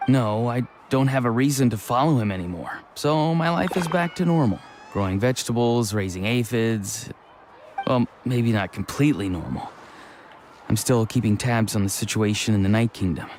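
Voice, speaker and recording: A man's voice: medium pitch, lively intonation, normal speed, darkish tone, slight background noise, natural voice. A man voicing an earnest, restless young villager with a casual, frank manner in an anime-style video game. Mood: candid. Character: restless, earnest young male villager, mild reformed troublemaker. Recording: faint background ambience.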